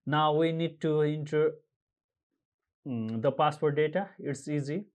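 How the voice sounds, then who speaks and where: A man from Nepal walking viewers through an online form step by step, pausing often with uh and um as he reads out codes. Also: fairly high pitch, a little mellow. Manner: halting, frequent uh and um fillers, reads out codes slowly. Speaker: tutorial presenter. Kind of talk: screen-recorded how-to walkthrough.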